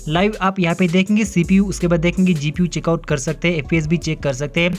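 A man's voice: on the high side, fairly even intonation, fast, soft background music. A man narrating a hands-on phone feature walkthrough in an upbeat way, mixing English words into his Hindi. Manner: upbeat, repeats filler phrases, mixes English words into Hindi. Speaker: tech reviewer. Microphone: off-camera voice-over over hands-on phone footage.

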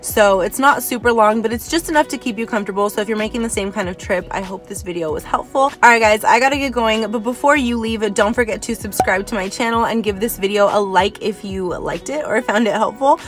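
A woman's voice: medium pitch, a little fast, hardly any pauses, soft background music. A woman in her twenties talking casually and upbeat to the camera from the driver's seat of a parked car. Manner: chatty and upbeat, frequent "like" fillers. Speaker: vlogger. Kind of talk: casual vlog talk to camera.

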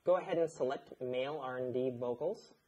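A man, narrating a scripted tutorial in a measured, instructional way. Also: medium pitch, a little mellow, lo-fi sound. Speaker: audio engineer, instructor. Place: indoor studio, seated at a desk.

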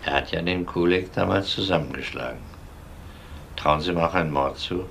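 A seasoned, matter-of-fact detective in a crime radio play. His voice is lowish, over a faint background noise. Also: normal speed, creaky, fairly bright tone. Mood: probing.

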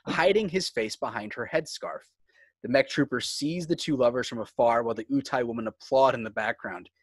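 A man's voice: mid-range voice, fairly bright tone, slightly rough, no room sound. A man reading screenplay stage directions as the narrator of a script table read.

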